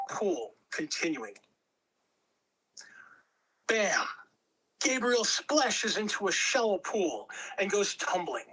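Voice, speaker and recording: A man's voice: high-pitched, sharp tone, rough recording. A man reading stage directions as narrator, in scripted dramatic narration. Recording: amateur home recording.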